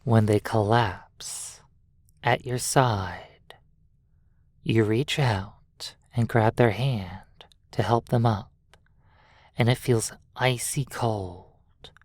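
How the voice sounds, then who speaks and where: A narrator softly telling a sleep hypnosis roleplay story in a breathy voice, deliberately, with long pauses between phrases. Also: medium pitch, dry recording, clean studio sound. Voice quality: breathy, soft.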